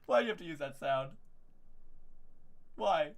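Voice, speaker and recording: A young man hosting a livestream, reading aloud and reacting in an excitable, theatrical, jokey way. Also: a little high, measured pace, no echo. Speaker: livestream host.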